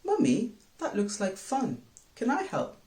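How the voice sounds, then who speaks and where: A man's voice: very high voice, wildly swooping pitch, a little slow, darkish tone, pitched above the performer's own voice, heavily acted. A man voicing an earnest, eager and anxious little boy in a children's storybook. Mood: excited, eager. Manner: earnest, pleading. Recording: roomy, echoing sound.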